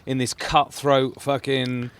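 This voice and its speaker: A man's voice: mid-range voice, level tone, slow pace, a bit bright, clear, resonant tone. A man hosting a podcast in casual chat, full of profane, jokey banter. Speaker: podcast host.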